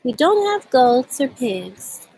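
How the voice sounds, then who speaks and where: A woman with a husky voice hosting a storytime for young children, speaking gently and in simple phrases as she reads and chats outdoors. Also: high voice, sing-song, slow, slight background noise, poor audio. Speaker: children's storytime host at a community farm. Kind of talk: storybook read-aloud and casual chat to children. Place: outdoors at a farm.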